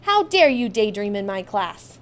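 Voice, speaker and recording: A woman's voice: mid-range voice, big pitch swings, a little slow, fairly bright tone. A woman voicing a stern, indignant male schoolmaster who scolds with scornful emphasis in a storybook reading. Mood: indignant scolding.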